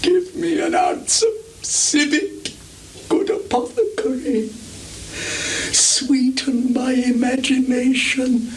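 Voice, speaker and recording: An actor playing a mad, bitter old king in theatrical Shakespearean style, lurching between declamatory shouts and hushed mutters. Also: very high-pitched, slow, exaggerated voice, poor audio. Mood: raging, disgusted. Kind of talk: theatrical Shakespearean stage recitation. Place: theatre stage before a live performance audience. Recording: 1980s live theatre recording, background noise.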